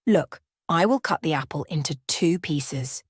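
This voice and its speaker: A woman's voice: sits low, lively intonation, a little slow, breathy edge, no room sound, clean studio sound, heavily acted. A woman as a sly, wheedling old peddler woman. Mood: sly persuasion.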